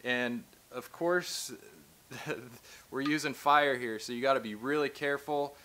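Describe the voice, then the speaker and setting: A man's voice: mid-range voice, a little slow, pushed and bright. Casual man demonstrating a trade skill. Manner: casual, practical, explains while working with his hands. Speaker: tradesman instructor. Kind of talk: hands-on how-to demonstration. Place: large indoor workshop with shelving.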